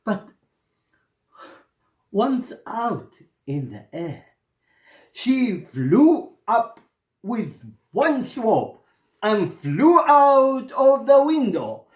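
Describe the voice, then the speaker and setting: A man's voice: very high-pitched, big pitch swings, very slow, long pauses. A man from Iraq with an Iraqi Arabic accent, telling a story theatrically and dramatically, repeating phrases for effect. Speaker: storyteller, actor. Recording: echoey room.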